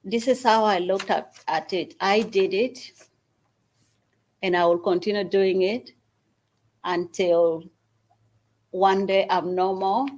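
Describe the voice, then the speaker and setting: A woman from Uganda, the founder of a small charity, gives an earnest speech at an event and makes an appeal with long pauses. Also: mid-range voice, steady tone, a little mellow. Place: event hall at a university.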